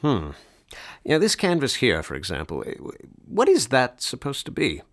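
An actor playing a brisk but tactful, even-tempered police detective in a detective radio drama. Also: male voice, mid-range voice. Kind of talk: old-time detective radio drama acting.

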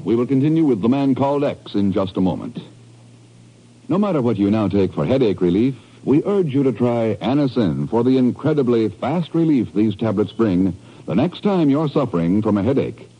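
A man delivering an emphatic, measured sales pitch as a 1950s radio announcer. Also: husky. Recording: muffled old radio broadcast.

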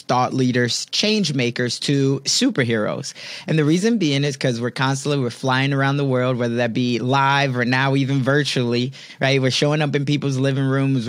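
A man's voice: mid-range voice, no echo. A man hosting an interview podcast, speaking in an enthusiastic, motivational and complimentary way as he sets up questions. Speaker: podcast host.

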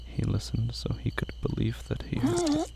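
A narrator reading scripted horror fiction in short, deliberate phrases. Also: medium pitch, wildly swooping pitch, gravelly. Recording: faint background noise.

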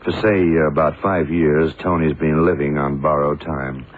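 A man's voice: rumbling low, fairly even intonation, normal speed. A man playing a brusque, stoic, unsentimental blind detective in an old radio drama. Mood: matter-of-fact. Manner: curt, hard-boiled. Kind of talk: 1960s-70s radio drama acting. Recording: tinny, hissy old radio broadcast.

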